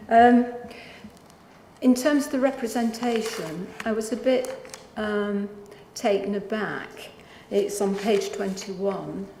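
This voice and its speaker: A woman's voice: mid-range voice, slow pace, gravelly, mellow, echoey room, a little hiss or hum, low-quality recording. A woman councillor putting a polite, somewhat hesitant question to an officer in a council meeting. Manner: polite, hesitant, many fillers.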